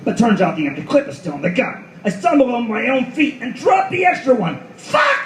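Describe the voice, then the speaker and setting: An actor playing a hard-boiled last detective in an apocalyptic radio play, speaking in a terse, urgent, profane way. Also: male voice, extremely high pitch, wildly swooping pitch, brisk, a little hiss or hum, full character voice. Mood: desperate. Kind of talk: noir radio play reading before an audience. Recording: echoey live room, distant mic, loud guitar behind.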